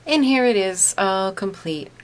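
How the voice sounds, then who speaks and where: A woman's voice: medium pitch, animated, unhurried, slight background noise. A woman narrating a step-by-step craft tutorial, explaining each step plainly and patiently.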